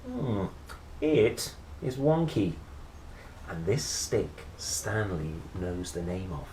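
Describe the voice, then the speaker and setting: Expressive, slow-paced male storyteller in a roomy space. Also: sits low. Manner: playful, expressive, dramatic pauses and exclamations. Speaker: children's picture book reader and presenter. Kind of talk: animated storybook read-aloud with chatty asides. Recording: roomy echo, faint background noise.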